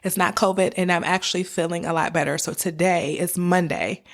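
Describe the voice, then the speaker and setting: A woman hosting a podcast as a conversational, encouraging coach, her voice a little raspy from a cold. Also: lowish voice, fairly even intonation, a little fast. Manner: encouraging, direct address to listeners. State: has a cold with cough and sore throat. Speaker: podcast host. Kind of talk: personal finance podcast monologue, conversational coaching.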